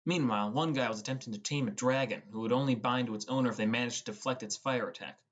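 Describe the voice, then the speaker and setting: A man's voice: mid-range voice, fast. A young man reading scripted first-person narration as an audiobook narrator.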